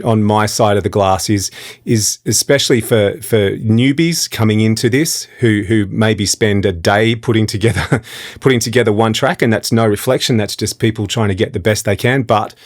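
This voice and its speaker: A man's voice: lowish voice, moderate pace, few pauses. A man in his fifties, an audio engineer and voice director, explaining his process in an informal, chatty way. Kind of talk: conversational explainer.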